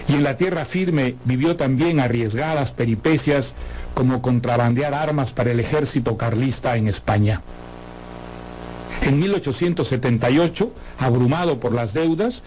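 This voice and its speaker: A man presenting a scripted literary introduction in a measured, formal, erudite way. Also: medium pitch, darkish tone, slight background noise, poor audio.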